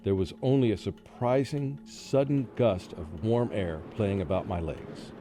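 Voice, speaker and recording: A man's voice: on the low side, a little slow, music in the background, lightly acted. A man playing a nervous, haunted scholar who narrates a radio drama in dramatic storytelling style. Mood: uneasy, suspenseful. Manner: dramatic first-person storytelling. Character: haunted, nervous male scholar recounting his ordeal.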